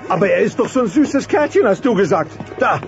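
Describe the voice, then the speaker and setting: An actor playing an excitable, cowardly dinosaur dad, whiny, sarcastic and melodramatic, in a comic children's audio drama. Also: male voice, sits high, fairly quick, vocal fry. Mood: annoyed. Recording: dull cassette sound, music behind.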